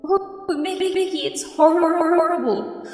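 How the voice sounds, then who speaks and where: A woman's voice: very high voice, unhurried, darkish tone, reverberant, in a large hall, faint music behind, pitched above the performer's own voice. Woman as a stuttering, glitchy robot cartoon duck lady. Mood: distressed. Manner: stuttering, repeating broken syllables. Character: upset glitching animatronic female cartoon duck.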